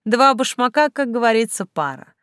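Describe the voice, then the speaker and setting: A woman voicing a cheeky, quick-witted young bride-to-be in an audiobook. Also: a little high, sing-song, a bit bright, clear, resonant tone, no echo, studio quality, pitched above the performer's own voice. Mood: wry. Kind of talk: audiobook character acting.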